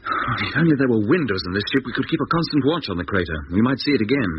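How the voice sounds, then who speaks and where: An actor playing an earnest, sometimes dazed moon expedition captain in a 1950s radio drama. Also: male voice, medium pitch, expressive, fast talker, close to an everyday voice. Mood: commanding. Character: rocket ship captain, dazed yet commanding male moon explorer. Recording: dull, hissy 1950s radio recording.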